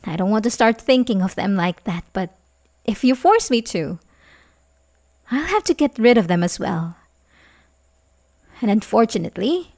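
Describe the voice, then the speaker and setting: A woman playing an obsessive, manipulative young babysitter in love in an ASMR roleplay, halting and pleading with soft menace. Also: mid-range voice. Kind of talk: ASMR roleplay audio drama, addressing the listener.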